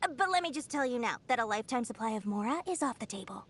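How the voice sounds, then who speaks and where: A woman's voice: on the high side, lively intonation, rapid-fire. A woman voicing a small, greedy, boastful girl merchant genie in an anime video game, with theatrical, wheedling salesmanship. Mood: smug, haughty.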